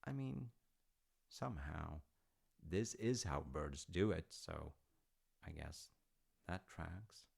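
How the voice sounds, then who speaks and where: Man playing a deep, gravelly, easygoing storytelling dad. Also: darkish tone, full character voice. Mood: amused, storytelling. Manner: rambling, wry, hesitant pauses with asides. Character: easygoing dad telling his grown child Norse myths. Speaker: audio roleplay voice actor. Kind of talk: intimate conversational storytelling roleplay.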